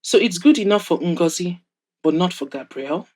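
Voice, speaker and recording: A woman's voice: lowish voice, animated, normal speed, a little mellow. A woman voicing a confident, rather cocky rich young man in an audio drama, questioning everything with indignant disbelief. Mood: puzzled, put out.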